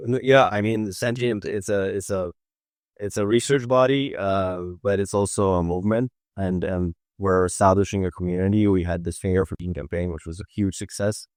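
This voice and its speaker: A man giving informal interview answers as a company representative, in a hesitant, measured way. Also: sits low, fairly even intonation, a little mellow, no room sound, clean studio sound.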